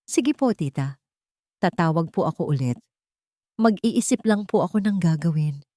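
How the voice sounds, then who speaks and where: A woman's voice: lowish voice, animated, normal speed, warm tone, no room sound, studio quality, lightly acted. A woman voicing a shaken young woman who speaks politely and anxiously in a dramatic audio story. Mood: subdued, composed.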